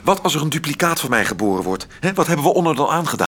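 Expressive, passionate lover. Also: male voice, on the high side, very fast. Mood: anguished. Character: passionate, agitated male lover, romantic and rationalising. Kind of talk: radio drama acting. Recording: loud background ambience.

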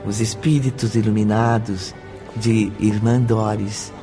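A man with a Brazilian accent reading a scripted radio drama narration in a measured, solemn way. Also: on the low side, little pitch movement, over music.

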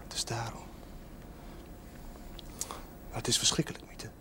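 An actor playing an embittered, lovesick young male outcast in a television drama, with a slow, brooding, softly taunting, theatrical delivery. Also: whispered. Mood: menacing longing. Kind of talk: 1970s Dutch television drama acting. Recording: noisy old television soundtrack.

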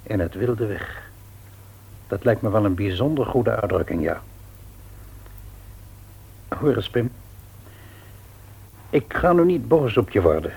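A grave, very husky father. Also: fairly low pitch, lively intonation, a little mellow, exaggerated voice, poor audio. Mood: grave, reproachful. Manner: measured, grave, deliberate. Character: earnest, patient, devout middle-aged father. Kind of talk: radio play acting. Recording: old radio play recording.

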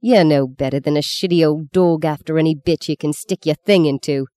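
A man's voice: fairly high pitch, fairly quick, dark tone, no room sound, close to an everyday voice. A man voicing a wounded, scornful young man in an audiobook, speaking with bitterness. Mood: contemptuous. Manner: mocking, embittered.

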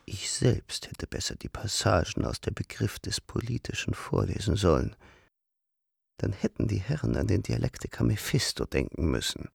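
An actor playing a reflective, philosophizing councillor in a radio play, speaking in a measured way. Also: male voice, fairly low pitch, sing-song, creaky, breathy edge, no room sound, clean studio sound, exaggerated voice.

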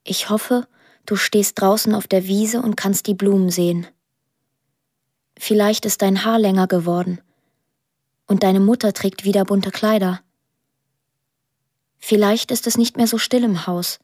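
A woman playing a lonely, dreamy twelve-year-old girl in a quiet, poetic radio drama monologue. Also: mid-range voice, steady tone, unhurried, long pauses, no echo, studio quality, natural voice. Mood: tender, lonely.

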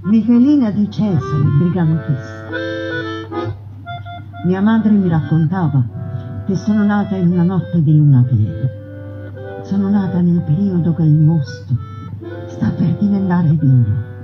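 A woman voicing a proud, defiant young woman bandit in a slow, solemn theatrical monologue. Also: fairly low pitch, animated, over music. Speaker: narrating voice in a stage piece. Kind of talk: theatrical first-person monologue. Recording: dull radio broadcast sound.

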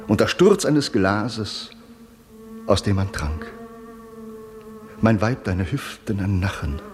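An actor playing a solemn, entranced poet who recites incantatory verse in measured phrases for a radio drama. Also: male voice, on the low side, a little mellow, lightly acted. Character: solemn male lover-poet chanting surrealist verse, entranced.

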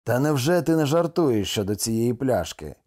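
A man's voice: medium pitch, lively intonation, moderate pace, a little mellow, natural voice. A man voicing a skeptical ship's boatswain in a storybook, drawling and doubtful. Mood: surprised, doubtful. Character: wary, slow-talking ship's boatswain, skeptical drinking companion.

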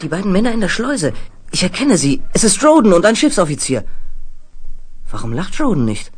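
A man's voice: sits high, very animated, fairly quick, breathy edge, exaggerated voice. A young man playing an uneasy, submissive teenage mutant foster son in a 1970s radio play, hesitant in manner. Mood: wondering.

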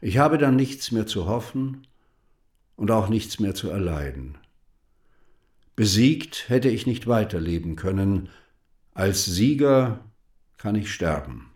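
An actor playing a bitter, patriotic French writer and deputy in a radio play, eloquent, rhetorical and ironic. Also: male voice, fairly low pitch, big pitch swings, takes it slowly, pauses often, a little mellow. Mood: solemn, elegiac.

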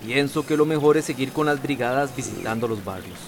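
An earnest male health worker played by an actor in a radio drama. He is respectful and businesslike, with a medium-pitched voice amid clear background noise. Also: lively intonation, fairly quick, close to an everyday voice. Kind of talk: radio soap opera acting.

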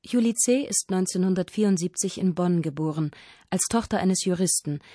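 A woman's voice: fairly low pitch, normal speed, dark tone, no room sound, clean studio sound. A woman reading scripted narration for a radio feature in a composed, orderly way.

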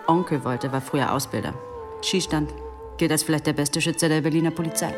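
Actress as low-voiced, quick, teasing policewoman. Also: over music, close to an everyday voice. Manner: dry, teasing, self-assured. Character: shrewd, flirtatious policewoman, dry wit. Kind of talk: German radio play dialogue.

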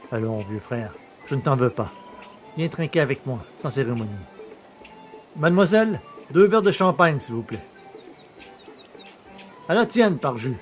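An actor playing a jovial, boastful country poacher in a French audio drama, chummy, cheeky and colloquial. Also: male voice, a little high. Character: jovial, boastful, familiar rural poacher just freed.

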